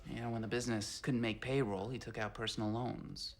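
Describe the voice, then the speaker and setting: A man's voice: medium pitch, level tone, a little fast, natural voice. An actor playing a reassuring, persuasive male colleague in a period drama, speaking in a coaxing way. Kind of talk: television period drama acting.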